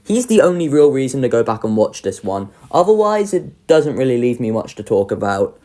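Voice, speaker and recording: A woman's voice: extremely low pitch, very animated, fairly quick, dark tone. A woman giving casual, opinionated video commentary as a host, informal and sardonic in manner. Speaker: video host ranking children's shows.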